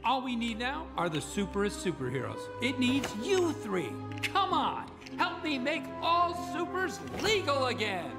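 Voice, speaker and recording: An actor playing an exuberant, slick tycoon who adores superheroes, pitching like a salesman in an animated game. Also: unhurried, few pauses, over music, full character voice. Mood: enthusiastic. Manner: salesmanlike, enthusiastic. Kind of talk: animated film game voice acting.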